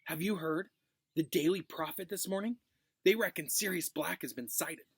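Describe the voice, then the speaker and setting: A man voicing an excited schoolboy who shares gossip in an eager, confiding way in a storybook reading. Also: a little high, moderate pace, creaky, breathy edge, no echo, pitched above the performer's own voice, exaggerated voice.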